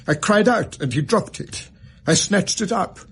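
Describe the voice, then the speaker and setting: A man's voice: high-pitched, lively intonation, unhurried, a bit bright. An actor playing an anguished, respectable middle-aged Victorian banker and father, with an English accent and formal, earnest, precise diction in a radio drama. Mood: distressed, earnest. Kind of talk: radio drama acting, narrating a confession. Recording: radio drama with faint background noise.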